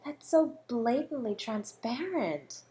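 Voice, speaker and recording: A suave, flirtatious female murder suspect played by a woman in an audio roleplay. She is mocking and condescending, stretching words theatrically and slipping into breathy asides as she toys with her listener. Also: on the high side, very animated, takes it slowly, dark tone, a little hiss or hum. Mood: scornful. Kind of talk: scripted audio roleplay monologue.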